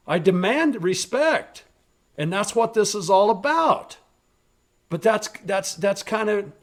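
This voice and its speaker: Man, podcast host, blunt, forceful, swearing, high-ish voice. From rural western United States, raised on a ranch. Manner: blunt, forceful, swears freely, raises voice to make a point. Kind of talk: opinionated political talk.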